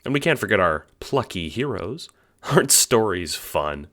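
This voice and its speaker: Very deep-voiced, theatrical puppet-master narrator played by a man. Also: very animated, measured pace. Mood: gleeful, taunting. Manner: teasing, mock-cheerful, swooping between hushes and exclamations. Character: sly, theatrical male puppet-master narrator who toys with listeners. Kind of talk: theatrical audio drama narration. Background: music.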